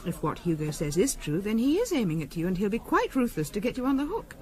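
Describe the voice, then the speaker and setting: A woman's voice: mid-range voice, big pitch swings, quick pace, a little mellow, lightly acted. A woman playing an honest, apologetic English friend in a 1970s radio drama, speaking hesitantly and earnestly. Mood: cautious.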